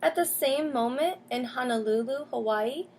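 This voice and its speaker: A woman teacher reading a picture book aloud to children, pausing to explain and ask questions. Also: high-pitched, a little mellow, slight background noise. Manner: explains and asks questions as if to young listeners. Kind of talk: storybook read-aloud with comments to children.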